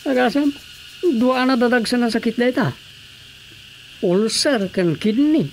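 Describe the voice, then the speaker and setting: A man's voice: very high voice, lively intonation, measured pace, full character voice. A man playing an earnest, admonishing villager in radio drama comedy, with a northern Philippine accent. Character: earnest male villager, cautioning a friend. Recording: faint cricket-like background noise.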